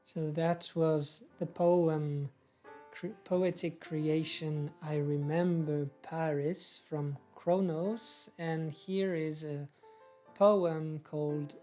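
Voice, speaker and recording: A young woman hosts a live poetry stream from a room at home. Her voice is low and mellow, and she talks slowly and hesitantly. Light guitar music sits behind her. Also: fairly even intonation. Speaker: host of an online poetry reading. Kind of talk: informal live stream hosting. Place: small room at home, indoors. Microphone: video call.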